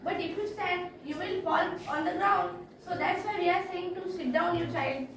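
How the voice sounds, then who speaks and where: Young woman as bossy bus conductor, indian accent, teasing, really high voice, echoey room w faint noise. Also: moderate pace, recorded from a distance. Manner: mocking, instructing. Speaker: student actor. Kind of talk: amateur school play acting.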